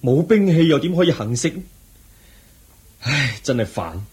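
A man's voice: medium pitch, big pitch swings, a little slow, slightly rough, heavily acted. A man with a Hong Kong Cantonese accent playing a brooding young prince disguised as a cook in a radio drama, earnest and plaintive. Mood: frustrated.

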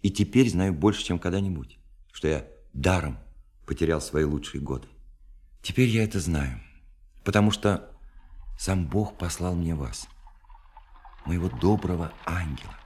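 Husky low-voiced romantic dreamer. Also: male voice, expressive, a little mellow, heavily acted. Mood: sorrowful, grateful. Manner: ornate, bookish, impassioned speech with dramatic pauses. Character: lonely romantic young dreamer pouring out his heart. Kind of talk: literary radio play acting, monologue.

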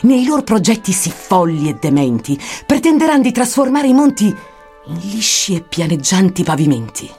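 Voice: medium pitch, very animated, moderate pace, a little mellow. A grave, wise guide voiced by a reciter declaims prophetic old verse in a radio poetry drama. The tone is solemn and oracular, with light music beneath the voice.

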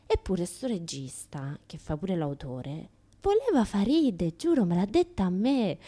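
A woman's voice: fairly low pitch, big pitch swings, measured pace, dark tone. An actress playing a brash, unashamed, chatty woman with a Roman accent in a comic radio monologue, talking in a colloquial, teasing way. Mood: deadpan complaining. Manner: colloquial, teasing, mimics other people in dialogue. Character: brash, chatty naked woman who turns up everywhere, unashamed.

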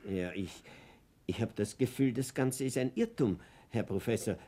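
An actor playing a mild middle-aged postman in a radio drama, simple, dryly matter-of-fact and quietly defiant. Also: medium pitch, normal speed, close to an everyday voice. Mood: quiet, wistful. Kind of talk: German radio drama acting.

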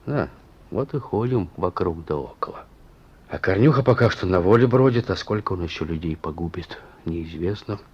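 Deep, rough-edged stern police chief. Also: male voice, expressive, moderate pace. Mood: grim. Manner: firm, colloquial, admonishing. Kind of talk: Russian radio play acting. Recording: dull, muffled radio play recording.

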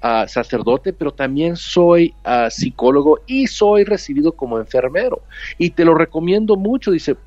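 A man's voice: a little high, expressive, normal speed, a little mellow, dry recording. Earnest male nurse, English native with a Mexican accent, heard over a dull, dry remote line. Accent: Mexican Spanish. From United States, raised with Mexican family. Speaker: guest, nurse and author. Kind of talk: storytelling interview answer.

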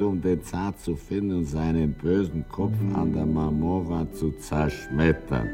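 An actor playing a weary, bitter bookseller who spins tall tales in a radio drama, halting and brooding with dramatic pauses. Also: male voice, dark tone. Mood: animated, wry. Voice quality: very deep, gravelly.

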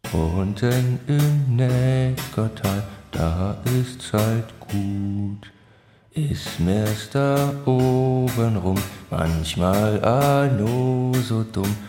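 A man with a Swabian accent slowly delivering the verses of a folk song. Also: mid-range voice. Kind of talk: slow singing or chanting of a folk song. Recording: roomy echo with instrument.

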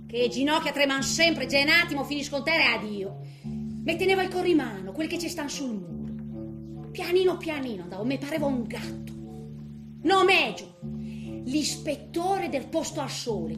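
Frightened, grumbling old lady, animated and high. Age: 80s character. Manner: colloquial, exclaiming, chattily reliving her misadventures. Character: frightened, grumbling eighty-year-old Italian woman in a care home. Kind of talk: theatrical comic monologue.

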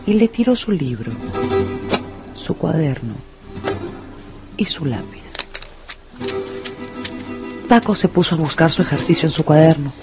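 A man's voice: fairly high pitch, very animated, measured pace, long pauses. A man narrating a scripted story dramatically for radio. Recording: music behind the voice.

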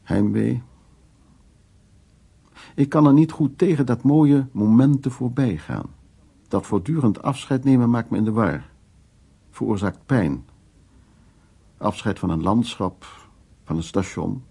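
An actor playing a nostalgic, reflective painter and lifelong traveller in a radio drama, phrasing his words in measured fragments. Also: lowish voice, gravelly, mellow, breathy edge, heavily acted. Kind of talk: radio drama monologue and dialogue.

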